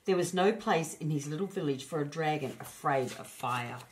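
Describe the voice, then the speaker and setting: A woman narrating a children's storybook as an expressive storyteller. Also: deep voice, moderate pace, slight background noise, poor audio.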